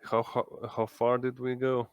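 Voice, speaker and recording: A man's voice: mid-range voice, monotone, measured pace, gravelly, a little hiss or hum. A man chatting casually as a player in a tabletop roleplaying game.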